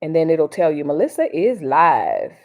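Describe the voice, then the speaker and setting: Low-voiced American woman chatting live. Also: wildly swooping pitch, moderate pace, a little mellow. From Miami, United States. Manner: rambling, reads and answers viewer comments; informal, folksy slang. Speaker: livestream host. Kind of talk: casual live chat with commentary.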